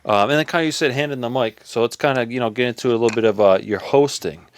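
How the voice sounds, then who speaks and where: Fast-talking, rambling male host. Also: medium pitch, clear, resonant tone, no room sound. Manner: rambling, excitable, full of fillers. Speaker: podcast host. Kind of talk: casual podcast interview.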